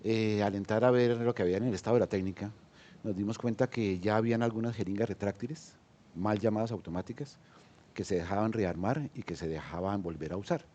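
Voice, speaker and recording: A man's voice: fairly low pitch, fairly quick, dark tone, slight background noise. A man with a Colombian accent, an entrepreneur speaking on a panel, hesitant with many fillers and restarts. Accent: Colombian Spanish. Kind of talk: panel discussion.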